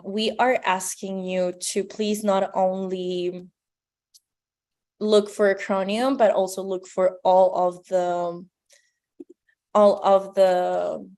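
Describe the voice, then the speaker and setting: A woman's voice: medium pitch, a little mellow. A young woman from Colombia giving earnest, pleading public testimony at a hearing. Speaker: public commenter.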